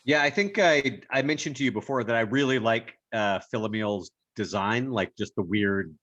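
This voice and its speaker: A man's voice: on the low side, moderate pace, fairly bright tone, dry recording, muffled recording. A man talking casually and thoughtfully in a group discussion, working through his ideas as he speaks. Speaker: voice actor giving feedback.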